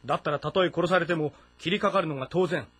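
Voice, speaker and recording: An actor playing a suspicious, insistent young son of an ancient Chinese warlord in a game drama, urgent and accusing. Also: male voice, fairly high pitch, fast talker, fairly bright tone, slightly rough. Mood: distrustful. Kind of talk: dramatic audio-novel game acting.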